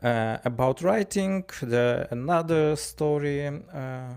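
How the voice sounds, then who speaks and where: Gravelly Ukrainian man telling stories haltingly. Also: fairly high pitch. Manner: halting, stammering over words, animated storyteller acting out dialogue. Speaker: wrestling ring announcer and commentator. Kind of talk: casual spoken anecdote.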